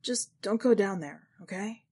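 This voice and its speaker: Weary, resigned man, plain and measured woman reading. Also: mid-range voice, mellow, breathy edge. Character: weary, resigned man explaining things to a child.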